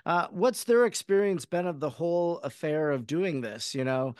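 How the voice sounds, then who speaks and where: Clear-voiced man hosting, slightly high pitch. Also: moderate pace, darkish tone, no room sound, clean studio sound. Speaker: podcast host, interviewer. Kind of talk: conversational interviewing.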